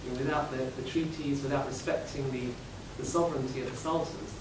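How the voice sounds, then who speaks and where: A man's voice: mid-range voice, fairly even intonation, fast talker. A man on an academic panel speaking hesitantly, with many fillers and restarts. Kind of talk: academic round-table discussion. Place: seminar room. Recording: echoey hall, distant mic, background noise.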